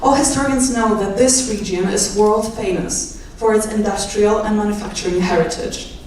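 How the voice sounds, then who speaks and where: A young woman with a Polish accent giving a measured rally speech with wry, self-deprecating asides. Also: mid-range voice, steady tone, a little mellow, recorded from a distance, a little hiss or hum. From Poland. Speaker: guest speaker, doctoral student. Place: large indoor hall at a rally. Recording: echoey hall, mic from afar.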